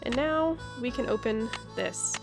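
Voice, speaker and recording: A woman's voice: fairly high pitch, lively intonation, measured pace, creaky, over music. A woman hosting a gaming video with casual playthrough commentary into a headset.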